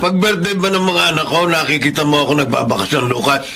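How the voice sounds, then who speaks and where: A stern, gravelly Filipino boss. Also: male voice, a little high, steady tone, fast talker, sharp tone, slight background noise. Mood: stern, scolding. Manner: scolding, curt, commanding. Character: stern, impatient, domineering male boss with a family. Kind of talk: Filipino radio drama acting.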